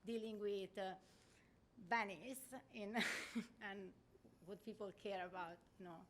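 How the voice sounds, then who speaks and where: A woman's voice: mid-range voice, fairly even intonation, takes it slowly, a bit bright, a little hiss or hum. Brief: middle-aged Italian-accented woman, native Italian, academic, asking an audience question, feels out her phrasing. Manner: searching for words, frequent "you know" and "right?". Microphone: audience microphone.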